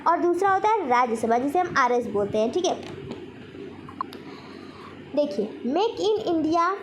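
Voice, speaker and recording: A woman's voice: very high-pitched, slight background noise. A woman teacher giving a general knowledge lesson, posing questions and then answering them herself in an instructional way. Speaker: quiz teacher.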